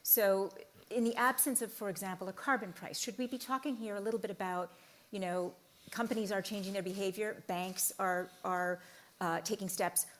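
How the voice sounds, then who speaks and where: A woman moderating a panel discussion on a conference stage, asking measured, probing questions. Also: medium pitch, steady tone, fairly bright tone.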